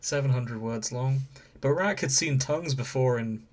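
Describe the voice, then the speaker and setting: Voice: medium pitch, normal speed. A podcast host of unclear sex, chatting casually and explaining things. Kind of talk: casual chat.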